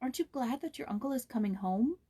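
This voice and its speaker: Storybook role: bold, motherly, indignant girl, played by a woman, emphatic, earnest, higher-pitched. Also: lively intonation, quick pace, mellow, slightly rough. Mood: anxious sympathy. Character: motherly, spirited, indignant girl, older child.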